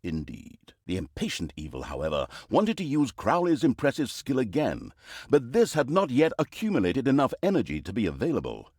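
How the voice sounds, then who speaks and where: Pompous, sardonic game narrator, wildly animated man. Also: mid-range voice, dry recording, clean studio sound. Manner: mock-grandiose, sardonic. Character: pompous, sardonic male storyteller narrating an evil overlord's campaign. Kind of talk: theatrical scripted fantasy narration.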